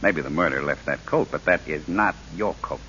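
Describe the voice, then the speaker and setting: An actor playing a breezy, wisecracking gangster with slangy, nonchalant delivery in a 1940s radio drama. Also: male voice, a bass voice, brisk, sharp tone. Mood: cool, casual. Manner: slangy, nonchalant tough-guy talk. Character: breezy, wisecracking male gangster, loyal but unrepentant. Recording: hissy, dull old radio broadcast.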